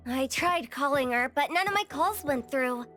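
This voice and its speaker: A woman's voice: sits high, lively intonation, normal speed, a bit bright, faint music behind. A woman voicing a young, anxious and headstrong girl in a video game. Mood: worried.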